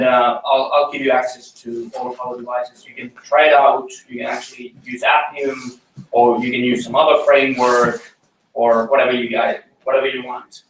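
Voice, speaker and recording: A man's voice: mid-range voice, a bit bright, recorded from a distance. A man with a Finnish accent presents at a tech meetup, hesitating often with ums and uhs. His voice carries a heavy echo, caught from across the meeting room. Faint background noise sits beneath it. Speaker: conference speaker. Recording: roomy echo, mic from afar, faint noise.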